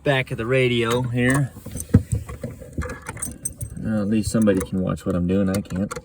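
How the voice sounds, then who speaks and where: A man's voice: fairly low pitch, moderate pace, noisy background. Rambling man grumbling over his gear. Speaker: channel host showing truck repairs. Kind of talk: casual how-to tutorial. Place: inside a truck at night.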